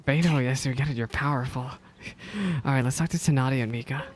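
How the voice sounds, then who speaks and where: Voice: mid-range voice, brisk, slight background noise. A game streamer giving casual live reaction commentary in a chatty, exclamatory way.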